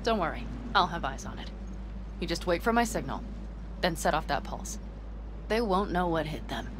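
A woman's voice: fairly low pitch, very animated, normal speed, background noise. An actress in a video game playing a brash, hot-headed young nomad woman, confident, cocky and sweary. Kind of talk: video game cutscene acting.

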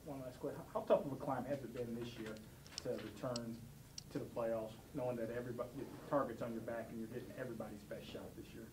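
A man's voice: medium pitch, steady tone, fast talker, darkish tone, reverberant, distant mic. A man working as a sports reporter, asking post-game questions in a noisy room, away from the microphone. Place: indoor press room. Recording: off-mic, clearly noisy room.

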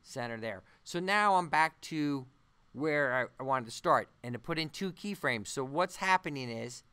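Bright, clear-voiced man giving a tutorial. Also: mid-range voice, expressive. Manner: halting, thinking aloud as he works. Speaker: animation tutorial instructor. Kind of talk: unscripted software demonstration. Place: voice-over on a screen-recording tutorial.

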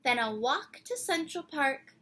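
A woman in her twenties doing an expressive, theatrical picture-book read-aloud for children, drawing out words and putting questions to her young listeners. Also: sits high, a little slow. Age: 20s. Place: small decorated room indoors.

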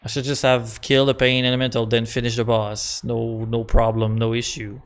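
A man's voice: mid-range voice, flat delivery, normal speed. A man in his thirties, a native French speaker with a Québécois accent, casually chatting and laughing at himself while streaming a game from a small room at home. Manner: rambling, self-mocking, chuckles often, repeats words. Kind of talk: casual live-stream commentary.

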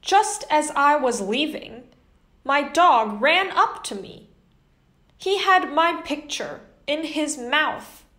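Bright, high-pitched young woman reading slowly. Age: young adult. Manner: animated, carefully enunciated. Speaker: host and children's story reader. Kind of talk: children's picture book read-aloud. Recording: echoey indoor room.

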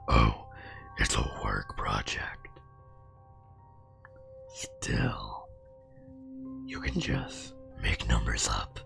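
A man playing a chatty, teasing nightmare spirit in a casual, gently scolding whispered ASMR roleplay. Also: very deep voice, creaky, exaggerated voice. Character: chatty, teasing male nightmare spirit nagging a sleepless worker.

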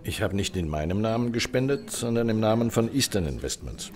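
An actor playing a courteous, guarded middle-aged widowed ambassador in a radio crime drama, speaking formally and with measured hesitation. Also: male voice, on the low side, expressive, over music, close to an everyday voice. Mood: defensive, formal.

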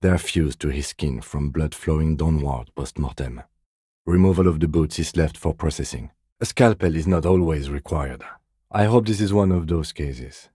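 A male actor playing a composed, meticulous police detective in a video game, with a measured, restrained, dryly stern delivery. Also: very deep voice, dark tone, husky, no room sound, clean studio sound. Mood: deadpan, stern. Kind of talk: scripted game dialogue.

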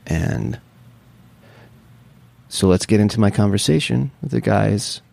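A man's voice: lowish voice, expressive, a little slow, gravelly, warm tone. A man hosting a podcast, talking casually with lots of fillers and friendly questions. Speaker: podcast host. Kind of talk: casual interview and spoken intro.

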